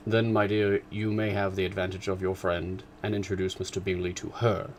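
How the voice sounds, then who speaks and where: Low dry gentleman's voice, ironic, teasing, unhurried, read by a male narrator. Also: level tone, a little hiss or hum, lightly acted. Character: dry, teasing older gentleman, sardonic father.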